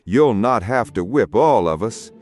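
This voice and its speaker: A man voicing a stern, commanding father who yells reproachfully in an audiobook story. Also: fairly low pitch, lively intonation, measured pace. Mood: fierce, commanding. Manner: yelling, reproachful, harsh. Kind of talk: audiobook character acting. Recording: music behind the voice.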